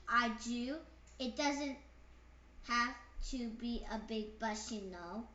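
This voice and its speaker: A woman voicing an excitable, jealous storybook pigeon who pleads and rants with dramatic, whiny indignation. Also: sits high, full character voice. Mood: indignant. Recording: roomy echo, slightly dull sound.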